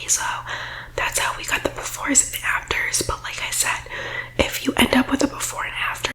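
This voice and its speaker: A high, whispering boss-babe recruiter, played by a woman delivers a comedic ASMR sales pitch. Her delivery stays even and narrow in pitch, with a faux-professional, reassuring polish. She is pushy yet evasive, nudging her listeners to agree. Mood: coaxing, confident. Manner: faux-professional, reassuring, tagging points with "okay?". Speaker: ASMR roleplay performer.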